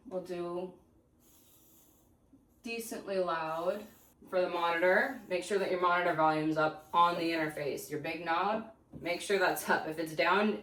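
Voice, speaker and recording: Low-pitched woman in an echoey room. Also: fairly bright tone. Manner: chatty, informal, thinking aloud. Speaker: musician giving a tutorial. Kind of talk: casual hands-on demonstration. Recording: echoey room, faint background noise.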